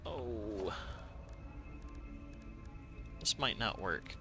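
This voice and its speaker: A man's voice: lowish voice, lively intonation, extremely slow, over music. A man streaming a factory-building game live, thinking aloud with playful asides to himself. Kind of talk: live gameplay commentary.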